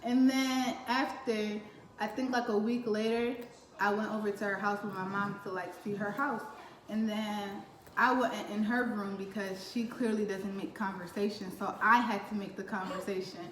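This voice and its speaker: A teenage girl tells a story with her cousins, chatty and laughing as she acts out quotes. Her voice sits at a middle pitch. The room gives a roomy echo over a faint hiss. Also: steady tone, moderate pace. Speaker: vlogger. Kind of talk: casual storytime chat.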